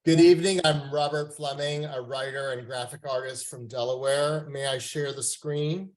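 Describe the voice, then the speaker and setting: A man from Canada whose first language is French, reading his poetry at an open mic over a video call in a slow, careful and polite manner. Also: medium pitch, steady tone, darkish tone, rough recording.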